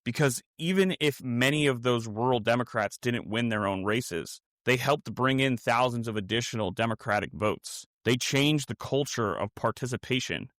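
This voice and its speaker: An American man delivering scripted political commentary as a podcast host, earnest and measured, in short emphatic phrases. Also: mid-range voice, fairly bright tone, no echo, studio quality.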